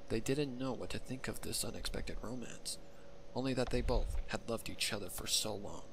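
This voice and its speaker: A man's voice: mid-range voice, moderate pace, creaky. A man reading a scripted story as its narrator. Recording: very noisy background.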